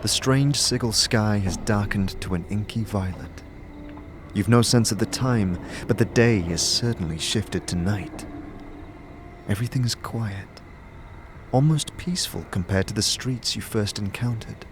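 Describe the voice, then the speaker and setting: A man narrating a scripted second-person adventure story in a measured, dramatic manner. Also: fairly low pitch. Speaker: narrator, game master. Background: music.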